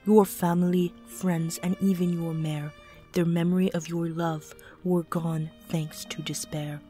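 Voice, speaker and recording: A woman's voice: lowish voice, flat delivery, unhurried, dark tone, faint music behind, lightly acted. A woman voicing a solemn riddling spirit guide. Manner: solemn, rhyming.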